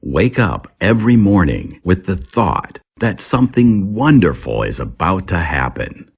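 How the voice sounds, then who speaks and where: Very deep, creaky man reading an English lesson. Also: very animated, dull audio. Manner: deliberate, repeats key phrases. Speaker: English teacher. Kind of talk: scripted lesson narration, reading vocabulary and quiz items.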